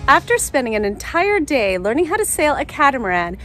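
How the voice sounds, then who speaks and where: A woman narrating her vlog in a plain, measured way. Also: high voice, very animated, music in the background. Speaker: vlogger. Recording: noisy outdoor recording.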